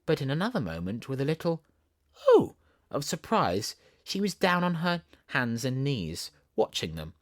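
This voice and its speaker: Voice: mid-range voice, big pitch swings, normal speed, hardly any pauses, no echo, studio quality. A narrator with a Southern English accent reading a children's storybook expressively. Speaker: audiobook narrator.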